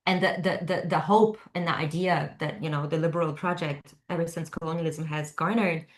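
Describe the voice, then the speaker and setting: A woman's voice: deep voice, steady tone, fairly quick. A woman from Germany speaking off the cuff as a panelist in an online discussion, discursive, often checking in with "right?" tags. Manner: discursive, frequent "you know" and "right?" tags. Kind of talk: impromptu webinar discussion. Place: indoor room, online video call. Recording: echoey room over online call.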